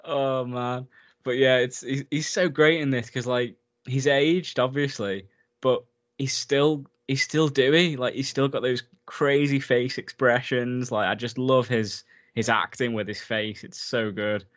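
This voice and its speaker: A man's voice: medium pitch, steady tone, unhurried, a bit bright. A man in a casual film recap chat, enthusiastic and talkative, laughing often as he goes. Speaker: podcast co-host.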